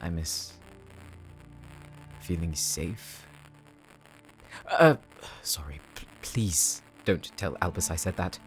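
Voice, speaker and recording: A man's voice: deep voice, expressive, slow pace, long pauses, faint music behind. A man voicing an earnest, homesick tech worker from a space colony in an audio roleplay, explaining things in a sometimes faltering way. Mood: wistful. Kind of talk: anime-style audio roleplay acting.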